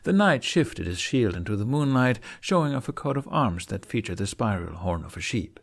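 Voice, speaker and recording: Low-voiced man narrating, very animated delivery. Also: moderate pace. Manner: expressive, theatrical. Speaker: fan fiction narrator. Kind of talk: dramatic audiobook-style story narration.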